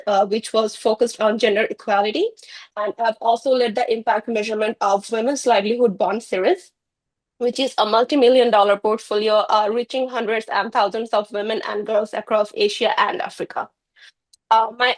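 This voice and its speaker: A woman from Bangladesh presents her project to an online session. Her voice sits a little high, and she speaks earnestly with many small fillers, heard over a video-call mic. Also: poor audio. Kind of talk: conference presentation.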